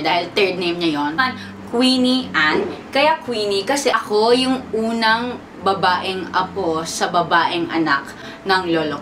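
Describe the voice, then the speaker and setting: Young Filipino woman vlogger, talkative and playful, mid-pitched, recorded a bit off-mic with audible room noise. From Philippines. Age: 20s. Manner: chatty, switching between English and Tagalog, playful. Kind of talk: casual sit-down Q&A chat. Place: at home. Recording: distant mic with background noise.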